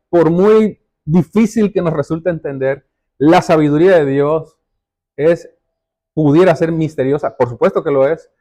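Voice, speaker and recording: A man's voice: high voice, darkish tone. A man preaching a sermon, explaining step by step and addressing the congregation directly, with emphatic repetition and rhetorical questions. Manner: emphatic, repeats phrases for stress.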